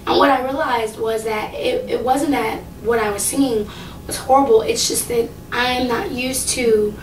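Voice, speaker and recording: A young woman rambles through a casual talk to camera, full of fillers. Her voice sits fairly high for a woman but carries a light rasp. It was recorded a little way off the mic, in an echoey room. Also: steady tone, moderate pace, distant mic, slight background noise. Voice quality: low, slightly raspy. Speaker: singing contestant.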